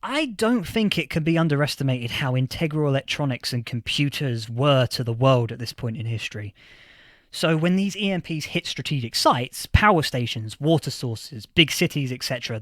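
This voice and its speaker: An actor playing a history professor from a post-apocalyptic future in a fiction podcast interview, explaining things with emphatic lecturing. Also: male voice, a little high, expressive, normal speed, no echo, studio quality, natural voice. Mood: emphatic, explanatory.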